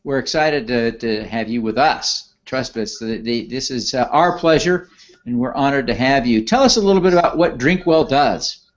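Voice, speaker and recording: A man hosts an interview show with a formal, courteous delivery. His voice sits at an ordinary male pitch. The recording is a little dull and lo-fi. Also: lively intonation, normal speed. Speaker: interview host.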